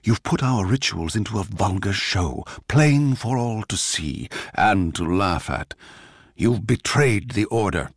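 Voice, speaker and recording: A man's voice: lowish voice, lively intonation, measured pace, breathy edge, no room sound, clean studio sound, heavily acted. A man acting a stern aristocrat in a radio drama, cold, accusing and imperious with fury.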